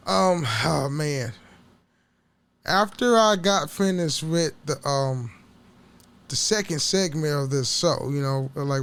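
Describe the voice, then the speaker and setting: A man with a notably high voice talks casually as a solo podcast host. He rambles, doubles back on words and stammers through sentences, dropping swear words freely as he goes. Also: clear, resonant tone. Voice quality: voice prone to cracking.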